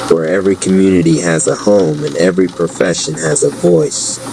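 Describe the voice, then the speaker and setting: A man's voice: very animated, fairly quick, creaky, full character voice. A man voicing a charismatic male professor who speaks in a preachy, rhythmic way, heard in a noisy recording. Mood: inspiring. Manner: preachy, rhythmic pitch delivery.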